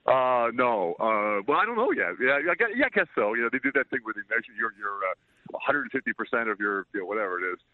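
An older man chatting as an interview guest, loud-voiced, rambling and self-deprecating. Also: mid-range voice, very animated, quick pace, dry recording. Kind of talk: casual interview chat. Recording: tinny dry phone line.

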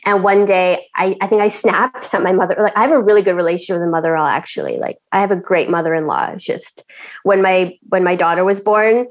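A woman from Canada talking as a podcast guest, with a middle-pitched voice. She chats loosely, laughs easily and often restarts her phrases. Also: quick pace. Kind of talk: casual chat.

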